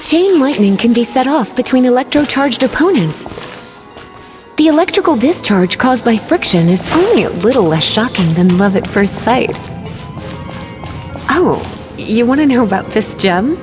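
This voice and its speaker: A woman's voice: fairly high pitch, big pitch swings, normal speed. An actress voicing a languid, teasing, learned woman mage in a video game, with a knowing, theatrical delivery. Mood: teasing, explanatory. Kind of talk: scripted video game voice acting. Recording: game music behind the voice.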